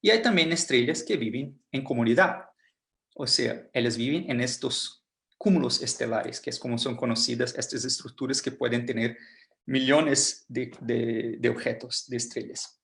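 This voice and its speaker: A man's voice: mid-range voice, normal speed, gravelly, fairly bright tone. Portuguese-accented man giving a science talk. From Brazil. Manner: explains plainly, many fillers and restarts. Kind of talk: science lecture. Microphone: video call.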